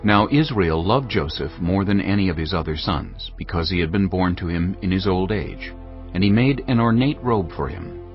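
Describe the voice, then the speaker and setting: A man with a deep voice and wide expressive inflection narrates scripture. His delivery is measured and dramatic, with faint music underneath. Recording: dull audio over background music.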